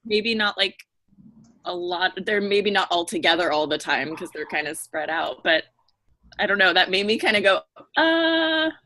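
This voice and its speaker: A woman's voice: mid-range voice, lively intonation, normal speed, pushed and bright. A woman chatting casually as a panelist on a group podcast.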